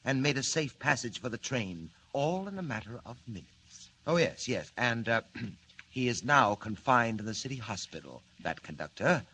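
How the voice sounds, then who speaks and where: A man's voice: medium pitch, big pitch swings, normal speed, pushed and bright, a little hiss or hum. An actor playing a scheming, mocking male villain mastermind in 1940s radio acting, with smug, stiff, formal phrasing.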